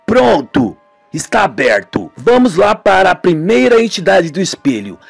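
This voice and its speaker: Guy doing a eager young know-it-all man for a radio soap, raised pitch, music behind. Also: big pitch swings, normal speed, creaky, breathy edge, heavily acted. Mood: explaining. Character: knowing, eager young man explaining the supernatural. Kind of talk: amateur radio soap acting.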